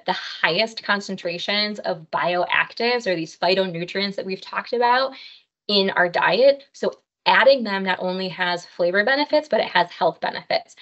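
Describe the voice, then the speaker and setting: A woman's voice: medium pitch, fairly bright tone. A woman dietitian teaching an online cooking class, speaking in a friendly, practical way with frequent "um" fillers. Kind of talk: online health class with slides. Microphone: webcam on a video call. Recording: slightly dull video-call audio.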